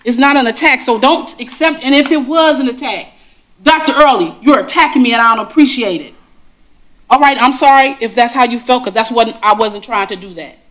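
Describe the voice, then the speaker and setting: A woman's voice: fairly high pitch, a little fast, a bit bright. A woman on a school board speaking firmly and insistently in a heated meeting, describing herself as having a strong voice.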